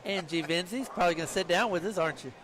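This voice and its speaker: A man's voice: high-pitched, rapid-fire, a crowd in the background. A man doing casual live commentary on a bowling tournament, chatty and joking and laughing often.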